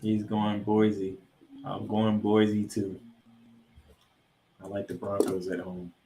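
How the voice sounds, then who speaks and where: A man co-hosting a sports podcast, previewing games and picks with drawn-out, emphatic announcer-style introductions. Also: lowish voice, monotone, background noise. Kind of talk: college football game previews and picks. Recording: roomy, echoey sound.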